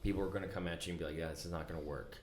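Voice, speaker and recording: A man talking in a casual podcast conversation as a company CEO guest, earnest and reflective, with frequent pauses and restarts. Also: low-pitched, steady tone, roomy sound.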